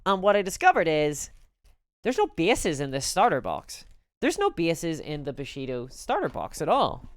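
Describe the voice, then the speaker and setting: A woman with a Belfast accent, chatting casually and candidly as a live streamer in an animated, conversational way. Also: low voice, moderate pace, no room sound, clean studio sound. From Northern Ireland. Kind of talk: casual chat to a live audience.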